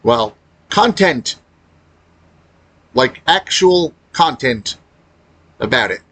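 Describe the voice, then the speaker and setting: Man, mid-pitched, lively intonation, halting unscripted delivery, blunt complaining, casual gaming commentary. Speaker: gaming video creator.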